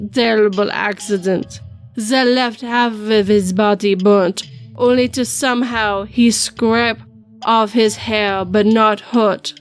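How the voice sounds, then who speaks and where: A woman acts out an older French shopkeeper in a narrated story, cagey and reluctant. The delivery is slow and theatrical, with old-fashioned turns of phrase and a French accent, over soft music. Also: on the high side. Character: older French male art shop keeper, cagey and reluctant.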